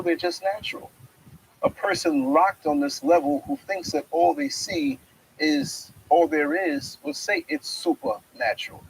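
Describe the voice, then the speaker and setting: A middle-aged man hosting a reflective spiritual monologue, speaking outdoors in a yard with wide, preacherly swings in pitch. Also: creaky, a little hiss or hum, low-quality recording. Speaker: host.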